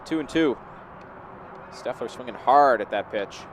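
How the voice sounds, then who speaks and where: A man's voice: medium pitch, animated, measured pace. Man calling baseball, noisy outdoor background. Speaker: play-by-play baseball commentator. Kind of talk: live sports commentary. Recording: clear outdoor background noise.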